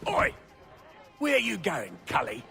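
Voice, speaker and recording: An actor playing a taunting, belligerent ruffian in a game cutscene. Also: male voice, sits high, wildly swooping pitch, takes it slowly, creaky, over music, heavily acted. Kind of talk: video game cutscene acting.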